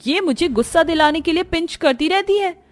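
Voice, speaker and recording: A haughty young rich wife acted by a woman, pitched high and whiny. She complains and mocks like a spoiled cartoon in-law, with music playing behind her. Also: lively intonation, brisk. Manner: whiny, mocking, scornful complaining. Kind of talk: cartoon family drama voice acting.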